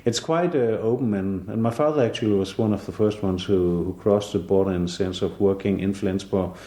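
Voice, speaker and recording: A man's voice: low-pitched, a little fast, mellow. Hesitant older Danish man, echoey room. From southern Jutland, Denmark. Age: 60s. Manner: hesitant, many fillers and restarts. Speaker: artist interviewee. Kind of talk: relaxed conversational interview.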